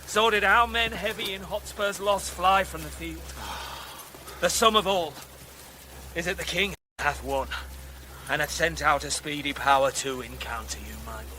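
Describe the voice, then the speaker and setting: An actor playing a loyal, shaken battlefield messenger in a Shakespearean radio drama, speaking formal verse earnestly. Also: male voice, fairly high pitch, normal speed, sharp tone. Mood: sorrowful, urgent. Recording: noisy ambience behind the voice.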